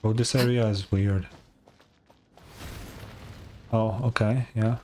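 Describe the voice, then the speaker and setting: A man's voice: on the low side, steady tone, slow, mellow, a little hiss or hum. A man giving casual gameplay commentary, muttering his reactions and swearing now and then. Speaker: gamer narrating own playthrough. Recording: game music behind voice. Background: game music.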